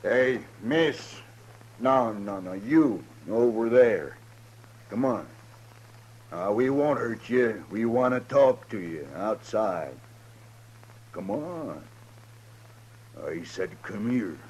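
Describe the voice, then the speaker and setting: An actor playing a menacing, lecherous outlaw in a 1950s radio western, halting and muttering as he talks. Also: lowish voice, lively intonation, darkish tone. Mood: coaxing menace. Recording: noisy 1950s radio recording with hum.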